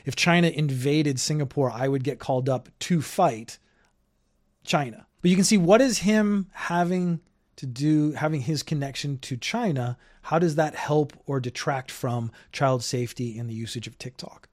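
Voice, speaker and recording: A man's voice: a little high, normal speed. A Canadian man hosting his own podcast, talking in a loose, rambling, opinionated way. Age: older. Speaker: podcast host. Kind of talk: casual solo commentary. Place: home in Japan.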